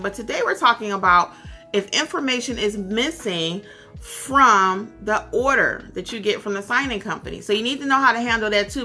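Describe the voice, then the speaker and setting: An American woman with a bright, forward voice teaches in a tutorial style. She leans on key points and repeats them for emphasis. Faint music plays behind her. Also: on the high side. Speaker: instructor and host of tutorial videos for notary signing agents. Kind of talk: instructional talk with acted-out example phone calls.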